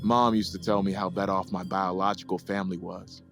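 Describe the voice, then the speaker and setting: An actor playing an earnest, conciliatory adult brother who gets exasperated, in an audio drama. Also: male voice, deep voice, little pitch movement, a little fast, gravelly, fairly bright tone, faint music behind. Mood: earnest, pleading.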